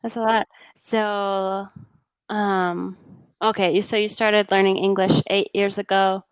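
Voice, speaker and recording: Easygoing chatty woman tutor, smooth voice. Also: mid-range voice, flat delivery, a little slow, dry recording, poor audio. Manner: easygoing and chatty, many fillers like yeah and you know. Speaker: online English tutor. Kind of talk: casual conversation practice. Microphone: video call.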